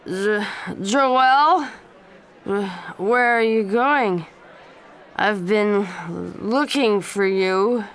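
A woman's voice: mid-range voice, fairly bright tone, a faint crowd. Woman doing a slurring drunk young guy. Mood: drunken boasting. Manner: slow slurred drawl. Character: drunk young man, boastful and cruel.

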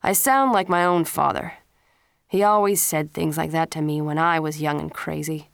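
A woman's voice: sits low, moderate pace, vocal fry, no echo. Woman narrator voicing a stern father, firm delivery, dry studio. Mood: rueful. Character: stern middle-aged father scolding his son. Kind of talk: storybook character reading.

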